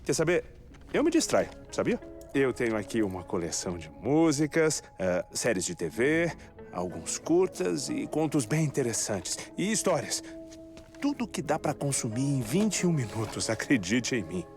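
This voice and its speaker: A man's voice: mid-range voice, big pitch swings, darkish tone. An actor with a Brazilian accent playing an eccentric, melancholic, talkative man in a dubbed video game cutscene. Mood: eager, rambling. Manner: talkative, halting, musing asides. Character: eccentric, melancholic man living in twenty-one-minute death cycles, chatty. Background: music.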